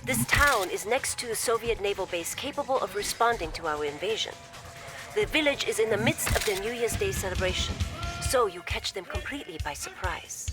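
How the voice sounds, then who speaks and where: An actress playing a poised, devoted military logistics officer in a video game cutscene, speaking in a formal, measured and deferential way. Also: female voice, mid-range voice, expressive, lightly acted. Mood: formal, resolute. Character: poised, devoted female imperial logistics officer briefing commanders. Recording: loud music behind the voice.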